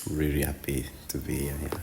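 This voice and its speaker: A very deep-voiced man in his late twenties from Rwanda, answering hesitantly and reaching for words with frequent fillers. Faint noise sits behind him. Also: completely flat delivery, a little fast, creaky, warm tone. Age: 20s. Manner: hesitant, lots of 'like' fillers. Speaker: exchange participant. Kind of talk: casual interview answers.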